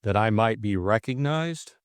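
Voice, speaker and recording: Stolid, understated police superintendent for an audiobook, acted by a man; deliberate, colloquial, close dry sound. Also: fairly low pitch, lively intonation, smooth, dry recording. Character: stolid, wooden, unhurried male police superintendent. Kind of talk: audiobook character dialogue.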